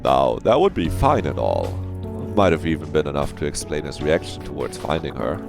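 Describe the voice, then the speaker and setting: A man's voice: a bass voice, moderate pace, gravelly, over music. A man streaming a visual novel, reading it aloud expressively and breaking off for casual asides. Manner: dramatic, expressive reading, swears freely.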